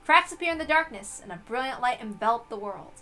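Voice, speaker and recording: A woman narrates a visual novel as its reader. Her voice sits high, and the delivery is scripted and dramatic. Soft music runs behind her. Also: lively intonation, fast.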